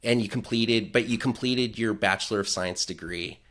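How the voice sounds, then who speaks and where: Low-voiced man interviewing a guest. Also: normal speed, fairly bright tone. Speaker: interviewer, host. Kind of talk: conversational interview questions.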